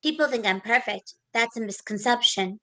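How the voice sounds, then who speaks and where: A woman's voice: fairly high pitch, normal speed, sharp tone. A woman playing a polite, literal computer schoolgirl with formal, precise speech in a staged play reading. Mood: matter-of-fact.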